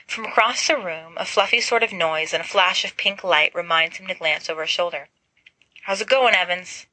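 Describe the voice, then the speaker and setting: A woman reading fan fiction aloud as an expressive amateur audiobook narrator. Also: lowish voice, low-quality recording. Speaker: volunteer audiobook reader.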